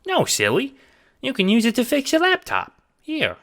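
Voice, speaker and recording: A man voicing a sweet, eager, childlike ghost cat sprite in an audio drama, with a creaky voice and an earnest, chirpy, polite manner. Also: very high-pitched, wildly swooping pitch, moderate pace, a bit bright, full character voice.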